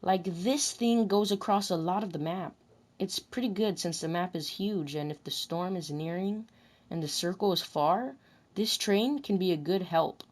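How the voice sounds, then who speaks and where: A woman with a slightly low voice narrates video game commentary. She reads it as scripted voice-over, deliberate in her delivery, and tends to restart and reword her sentences. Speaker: narrator recording voice lines about a video game.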